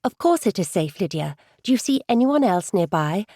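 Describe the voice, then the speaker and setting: A sensible young gentlewoman in an audiobook, urgent and commanding, dry close sound. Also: medium pitch, big pitch swings, normal speed, no room sound. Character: young woman, brisk and sensible, taking charge. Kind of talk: audiobook dialogue reading.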